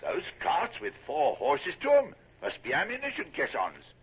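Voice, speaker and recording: An actor playing a blunt, hearty British naval officer eager for battle in a radio drama, with a rough, excitable voice. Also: male voice, fairly high pitch, unhurried, exaggerated voice. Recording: dull vintage radio recording.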